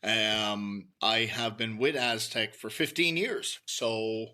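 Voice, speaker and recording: A man's voice: lowish voice, steady tone, slow pace, pushed and bright. A middle-aged man, a sales director, chatting easily as a guest on a podcast. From Ireland. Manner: easygoing, chatty, detailed explanations. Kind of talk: casual podcast interview.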